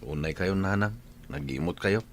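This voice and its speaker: A man's voice: low-pitched, expressive, normal speed, vocal fry. An actor playing a stubborn, mournful, lovesick young man in a radio drama, with an Ilocano accent. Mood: glum, stubborn.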